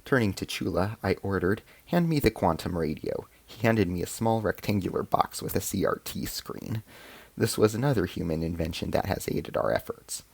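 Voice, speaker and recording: Gravelly low-voiced man as an alien rebel soldier. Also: animated, normal speed, no echo, full character voice. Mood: focused. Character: male alien rebel soldier, grave, determined squad chief. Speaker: narrator and lead character of an audio drama serial. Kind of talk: first-person scripted sci-fi narration.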